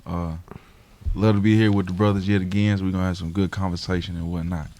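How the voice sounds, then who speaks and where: Deep-voiced easygoing Southern man. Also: flat delivery, fast, darkish tone, a little hiss or hum. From Georgia and Tennessee. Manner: easygoing and profane. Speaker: guest. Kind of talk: casual group chat.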